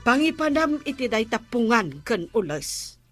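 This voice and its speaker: A woman's voice: fairly high pitch, big pitch swings, measured pace, fairly bright tone, faint music behind, heavily acted. A woman acting a scolding, sarcastic village captain's wife in a radio comedy drama, with an Ilocano accent.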